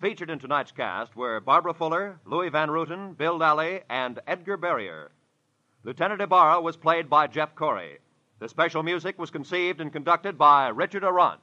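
An American male announcer with a very bright, forceful voice pitched on the high side. He reads in formal, measured 1940s radio fashion over music, on a muffled old broadcast. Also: lively intonation.

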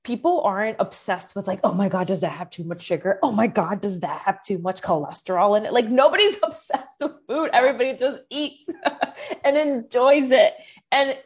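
Woman, health creator, chatty and emphatic, mid-pitched voice. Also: animated, normal speed, husky. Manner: chatty, emphatic, frequent fillers. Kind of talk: casual conversation.

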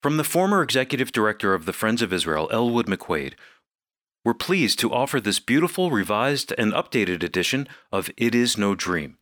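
A man's voice: on the low side, very animated, moderate pace, fairly bright tone, no room sound, studio quality. A man reading scripted promotional narration as a program announcer. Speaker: announcer presenting a book offer.

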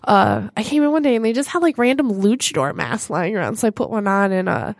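A woman's voice: mid-range voice, expressive, fast talker, creaky, no room sound, clean studio sound. A woman with a stuffed-up, congested voice, co-hosting a talk show in casual, joking conversation. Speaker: radio or podcast host.

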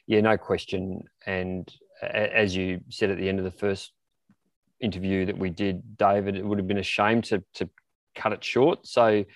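A man's voice: low voice, flat delivery, moderate pace, no echo. A man co-hosting a podcast, chatting casually and searching for his words with hesitant repetition. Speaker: podcast co-host. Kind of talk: casual interview chat.

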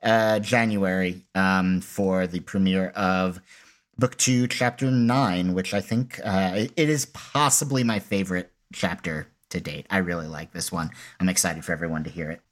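A man's voice: deep voice, steady tone, moderate pace. A man co-hosting a podcast in casual chat, with an informal, self-deprecating manner. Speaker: podcast co-host.

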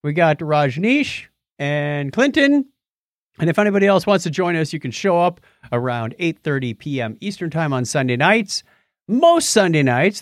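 Animated man hosting a casual podcast. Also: on the high side, no echo, studio quality. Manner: animated, conversational storytelling. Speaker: podcast host.

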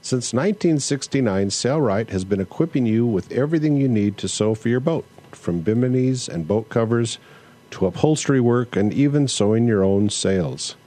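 A man hosting a casual podcast, talking in a relaxed, conversational way and reading listener emails aloud. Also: mid-range voice, moderate pace. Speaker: podcast host. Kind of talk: casual solo podcast with ad read and listener mail.

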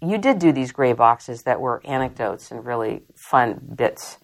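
A woman in her thirties hosting an interview show from a hotel, effusive and chatty and quick to laugh. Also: extremely low pitch, animated. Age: 30s. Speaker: talk show host. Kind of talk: enthusiastic radio-style interview hosting. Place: hotel in London.

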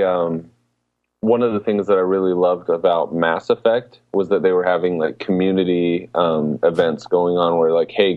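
A man's voice: deep voice, no echo. A man co-hosting a casual podcast, explaining things informally over a thin call-like connection. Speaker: podcast co-host. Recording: thin phone-like call audio.

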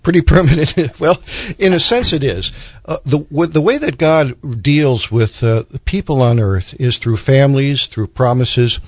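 Mid-pitched man teaching, dry dull sound. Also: normal speed, dry recording. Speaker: guest Bible commentator. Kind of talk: explanatory Bible teaching in conversation. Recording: dull, lo-fi broadcast audio.

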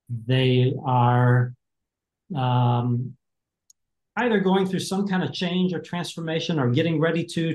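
A man's voice: mid-range voice, a little mellow. An American man in his fifties, an executive coach speaking as an interview guest in a reflective way, with many fillers and restarts. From United States. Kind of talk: casual interview talk. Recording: roomy echo.

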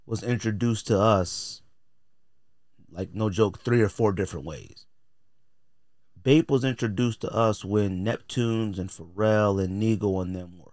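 An American man with a low voice, recorded close and dry. He talks like a podcast host sharing opinions, informal and full of slang. His delivery is blunt and conversational. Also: fairly bright tone, dry recording. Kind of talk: casual opinionated podcast talk.

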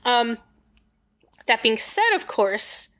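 A woman's voice: on the high side, very animated, takes it slowly, fairly bright tone. A woman in a casual podcast chat, explaining history with lots of fillers and rambling asides.

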